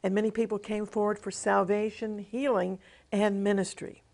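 Middle-aged woman minister, emphatic sermon-style testimony, bit of vocal fry. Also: medium pitch, level tone, normal speed, a little mellow. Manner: earnest, emphatic preaching cadence. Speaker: television minister. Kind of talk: devotional teaching with personal testimony.

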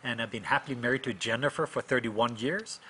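A man's voice: mid-range voice, fast, pushed and bright, husky, rough recording. A man in his fifties from Norway with a Norwegian accent, speaking conversationally as an interview guest about his own experience. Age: 50s. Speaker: guest, minister and author.

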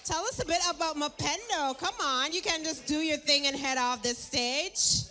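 A woman's voice: sits high, normal speed, bright, forceful tone. A woman working as a stage host, hyping the audience with teasing, exclamatory, repetitive calls. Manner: teasing, exclamatory, repeats herself. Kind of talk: hyping a live crowd.

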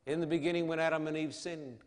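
A man preaching an evangelistic sermon in a solemn, emphatic manner, with dramatic pauses and rhetorical questions. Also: on the high side, steady tone. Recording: long hall reverb.

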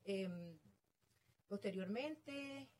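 A woman's voice: mid-range voice, lively intonation, drawn-out words, darkish tone. A middle-aged woman with a Venezuelan accent, telling her story casually as an interview guest. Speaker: interview guest, real estate agent.

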